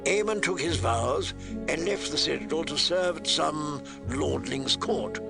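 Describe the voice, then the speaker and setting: A man's voice: on the high side, a little slow, vocal fry, loud music behind the voice. A man reading a novel aloud as a dramatic storyteller, giving the characters voices. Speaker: audiobook narrator.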